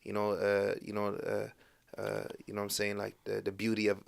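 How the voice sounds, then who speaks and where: A man's voice: lowish voice, normal speed, creaky. Young man, podcast guest, loose storytelling, plenty of fillers. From Morocco, raised in the United States. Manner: rambling storytelling, heavy fillers, lively voices for quoted people. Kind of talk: casual podcast conversation.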